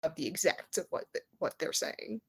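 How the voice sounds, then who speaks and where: A woman's voice: sits low, expressive, moderate pace, fairly bright tone, slightly rough. A woman narrating a tabletop game as game master, improvising in informal, hesitant phrasing.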